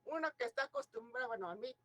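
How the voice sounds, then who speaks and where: A woman's voice: high-pitched, expressive, quick pace, sharp tone. A Mexican woman teaching a class, explaining ideas and often checking in with her students through questions. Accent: Mexican Spanish. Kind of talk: classroom lecture.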